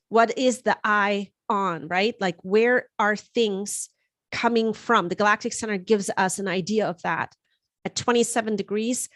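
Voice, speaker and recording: Woman, German native speaker, astrology host, chatty with fillers, mid pitch, dry close sound. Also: no echo. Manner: conversational, frequent fillers and restarts. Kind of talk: live-streamed astrology forecast.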